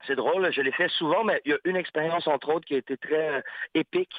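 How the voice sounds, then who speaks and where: A man's voice: fairly high pitch, quick pace, no echo. Reflective man on a tinny phone line. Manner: reflective, searching for words with many restarts. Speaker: guest artist, rapper and painter. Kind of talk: casual interview conversation.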